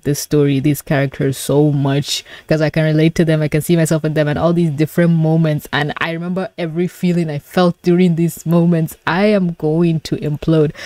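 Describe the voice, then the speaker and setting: A young adult woman giving a casual live reaction, gushing and exclaiming with excitement. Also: lowish voice, dry recording. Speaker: video creator reacting to a webcomic. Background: pop song playing.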